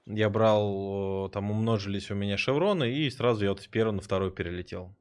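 A man streaming a game live, chatting with viewers in a rambling way and reacting loudly to the action. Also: lowish voice, brisk, smooth. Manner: excitable, rambling, swears, jumps between chatting and reacting to the game. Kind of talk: live gameplay commentary and chat.

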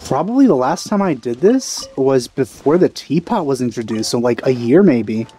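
Mellow man doing casual game commentary. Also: medium pitch, lively intonation, normal speed, husky. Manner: offhand, musing, wry. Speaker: gamer doing a let's play.

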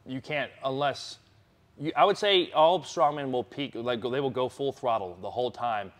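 A man's voice: medium pitch, normal speed. A young man answering interview questions in a plainspoken, eager way. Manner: eager, plainspoken, listing things off. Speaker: strongman competitor, guest.

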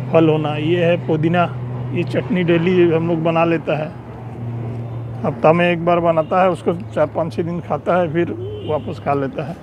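A man's voice: on the high side, noisy background. A man giving a chatty, casual tour of his rooftop terrace garden, filmed on a handheld phone outdoors. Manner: chatty, pointing things out. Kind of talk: casual walkthrough narration. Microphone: handheld phone camera.

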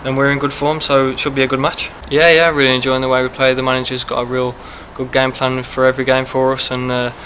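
Young male footballer, post-match chat, quick and even, close handheld mic, faint hiss. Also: mid-range voice, low-quality recording. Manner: quick, steady answers with many fillers. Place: press backdrop, indoors. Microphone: handheld mic held close.

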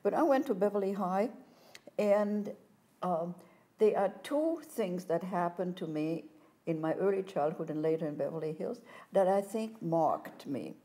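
Older woman with a mellow voice. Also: on the low side, a little slow. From France. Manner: animated storytelling, gestures with hands. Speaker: interview guest. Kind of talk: reflective life-story interview. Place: studio set, seated in armchair. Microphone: lapel mic.

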